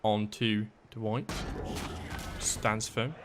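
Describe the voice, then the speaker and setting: A man casting a live video game match, his voice raspy and hoarse, thinking aloud through the plays. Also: lowish voice, drawn-out words, background noise. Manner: analytical, thinking aloud, thanks viewers. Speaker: game caster.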